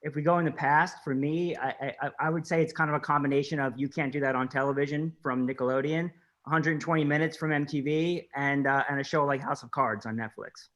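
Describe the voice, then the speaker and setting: A man guest speaking casually on a panel, friendly and halting. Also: a little high, fairly even intonation, a bit bright. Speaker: guest, design executive. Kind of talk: casual chat.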